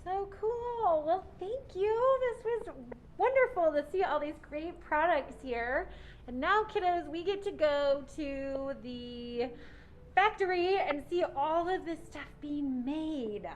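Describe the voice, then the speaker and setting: High-pitched woman hosting for kids. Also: slow, slight background noise. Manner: encouraging, addresses children as friends. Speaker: interviewer, children's show host. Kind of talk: enthusiastic interview aimed at children.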